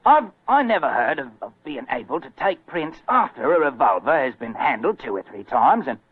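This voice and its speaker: An actor playing a plain, respectful, puzzled police sergeant with a hesitant way of questioning in a 1940s radio drama. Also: male voice, mid-range voice, very animated, normal speed, breathy edge, no room sound. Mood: respectful, curious. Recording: narrow-band, muffled 1940s radio recording.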